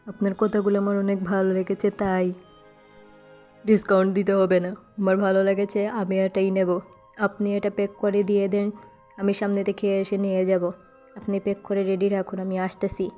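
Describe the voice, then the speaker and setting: A woman's voice: mid-range voice, monotone, moderate pace, dark tone, soft background music, lightly acted. A woman voicing a stubborn but affectionate young man, a doting older brother, in plain scripted cartoon dialogue. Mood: eager.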